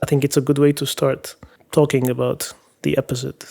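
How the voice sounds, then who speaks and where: A man from Egypt hosting a podcast round-table, speaking thoughtfully with some fillers and pauses. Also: medium pitch, little pitch movement, gravelly, mellow. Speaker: podcast host. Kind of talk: casual round-table chat.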